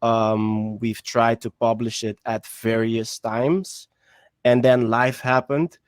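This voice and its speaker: Flat-voiced man in his thirties. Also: medium pitch, slow pace, clear, resonant tone, dry recording, studio quality. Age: 30s. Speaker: musician guest. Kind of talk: panel answer on a video call. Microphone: video call.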